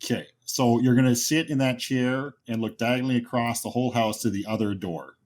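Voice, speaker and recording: A man's voice: medium pitch, fairly quick, a little mellow, a little hiss or hum. A man acting as game master, explaining the setup of a tabletop horror game to players.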